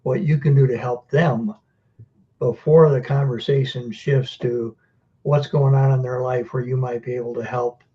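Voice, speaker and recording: A man's voice: medium pitch, steady tone, moderate pace, lo-fi sound. A man leading a group discussion and coaching in a casual way. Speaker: discussion leader.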